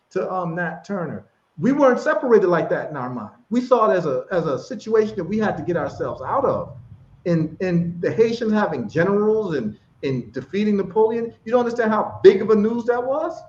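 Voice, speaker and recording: A man from Ghana, a guest in a casual chat, talking with passion and repeating phrases for emphasis. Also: high-pitched. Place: live stream call. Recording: echoey, dull lo-fi stream audio.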